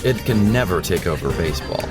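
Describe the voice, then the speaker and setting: A sleazy passenger leers and flirts with smug confidence in an audio drama, played by a man. His voice is lively and mid-pitched. Music plays behind him. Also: fairly quick. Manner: smug, leering.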